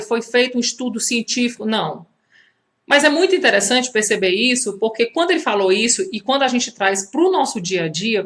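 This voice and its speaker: A woman's voice: a little high, fairly bright tone. Woman with a Cearense accent teaching. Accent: Cearense Brazilian Portuguese. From Fortaleza, Brazil. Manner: conversational, rhetorical questions, many filler restarts. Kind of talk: teaching monologue to camera.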